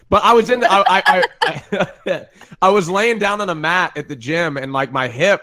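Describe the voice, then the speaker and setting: A man's voice: high-pitched, normal speed, pushed and bright. A man in his twenties chatting as a streamer guest, laid-back and hedging with fillers. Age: 20s. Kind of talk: casual group chat.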